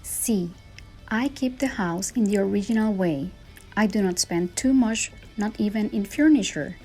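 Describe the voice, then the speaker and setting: Earnest Puerto Rican woman, mellow voice. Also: mid-range voice, measured pace, soft background music. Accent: Puerto Rican Spanish. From Puerto Rico. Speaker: speaker. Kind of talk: personal story talk.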